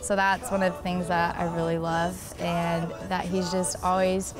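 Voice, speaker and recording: A woman's voice: on the low side, little pitch movement, unhurried. A young adult woman telling her own love story in a casual, personal way.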